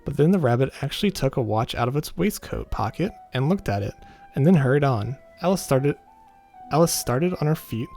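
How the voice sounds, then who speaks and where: A man's voice: mid-range voice, creaky, a little mellow. Man casually reading a visual novel, music behind. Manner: informal, stumbles over text and rereads. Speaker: gaming video host reading a visual novel aloud. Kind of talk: casual read-aloud with commentary. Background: background music.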